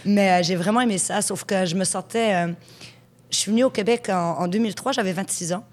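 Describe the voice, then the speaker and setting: A woman's voice: medium pitch, fairly quick. A woman in her forties, originally from Switzerland, chatting frankly as an interview guest about her working life. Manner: chatty storyteller, frank and lively. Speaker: guest, hypnotherapist. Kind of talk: conversational interview.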